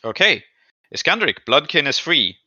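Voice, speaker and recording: A man working as game master, narrating a tabletop session in a halting, deliberate way. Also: on the high side, sing-song, pushed and bright, no room sound, highs cut off.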